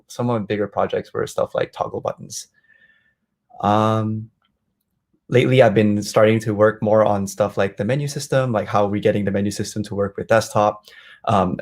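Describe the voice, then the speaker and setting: A man from Malaysia giving a relaxed, filler-heavy presentation as guest speaker on a video call. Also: on the low side. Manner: relaxed, many ums and likes. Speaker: guest speaker, software engineer. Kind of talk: online meetup presentation.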